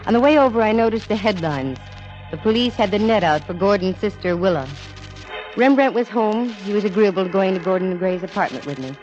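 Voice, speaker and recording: A woman's voice: medium pitch, lively intonation, a little fast, a little mellow, natural voice. A woman playing a cool, shrewd female private eye in a 1940s radio drama, composed and wry. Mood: narrating, wry. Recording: dull vintage radio recording, music behind.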